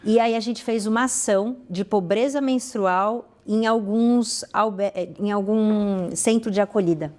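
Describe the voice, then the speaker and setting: A woman's voice: medium pitch, measured pace, few pauses, a little mellow. A woman with a Brazilian Portuguese accent, a podcast guest telling stories earnestly, with frequent restarts and sudden rises in emphasis. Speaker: guest, founder of a social fashion project. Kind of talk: conversational podcast interview.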